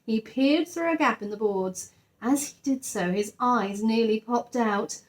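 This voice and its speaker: A woman's voice: a little high, measured pace, low-quality recording. A woman narrating a children's story expressively, like a teacher reading aloud to her class.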